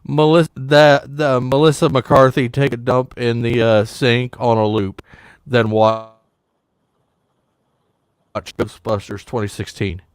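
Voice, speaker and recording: Smooth-voiced rambling man. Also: mid-range voice, steady tone, takes it slowly, pauses often, no echo. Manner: rambling, blunt jokey banter. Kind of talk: casual chat.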